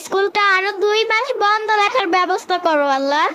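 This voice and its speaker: A woman's voice: extremely high pitch, brisk, very noisy. A woman voicing a cheeky, impatient young boy in a cartoon, whiny and pleading. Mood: praying. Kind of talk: cartoon voice acting. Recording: with loud music behind.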